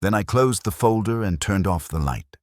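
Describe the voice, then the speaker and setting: A man playing a weary, melancholy detective, narrating a mystery in the first person in a measured, contemplative, literary way. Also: on the low side, expressive, dry recording, clean studio sound, close to an everyday voice. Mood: wistful, solemn.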